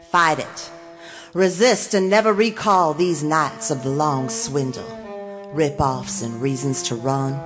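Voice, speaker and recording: Woman, spoken-word recitation, unhurried pace, deliberate clipped phrases, medium pitch, prominent plucked guitar music. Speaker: singer and spoken-word performer. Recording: loud acoustic guitar music behind voice.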